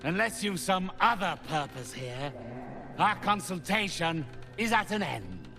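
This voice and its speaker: An actor playing a haughty, cold, mercenary necromancer in a fantasy game, speaking slowly with theatrical condescension. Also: male voice, high-pitched, very animated, music in the background, heavily acted. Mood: haughty, ominous. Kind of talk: cinematic fantasy game dialogue.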